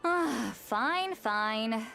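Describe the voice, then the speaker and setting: A woman playing a cagey, sharp-witted old granny healer in a video game, speaking in a wry, blunt way. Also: on the high side, animated, drawn-out words, gravelly, soft background music, exaggerated voice. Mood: wry, resigned.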